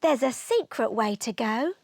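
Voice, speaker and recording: A small island boy from a storybook, acted by a woman reader, friendly and reassuring. The voice is high and sounds close and dry. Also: expressive, unhurried, no echo.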